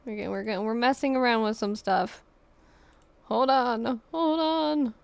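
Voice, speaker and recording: A woman livestreaming a game, chatting casually in halting, rambling self-talk. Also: on the high side, vocal fry, dry recording.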